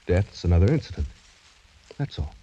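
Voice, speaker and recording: Muffled, dark-voiced proud young gentleman. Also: low voice, very animated, moderate pace. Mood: proud, resigned. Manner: formal, brooding, bitterly gallant. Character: proud, fatalistic young French gentleman facing death. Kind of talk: old-time radio drama acting. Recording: muffled, hissy vintage radio recording.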